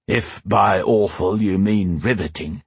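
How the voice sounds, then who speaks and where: Storybook armoured knight, voiced by a man: gruff, deep-set, very raspy, dry and honourable. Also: a little slow, dry recording, exaggerated voice. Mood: dry, bantering. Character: gruff armoured male knight, loyal, dry-witted lover.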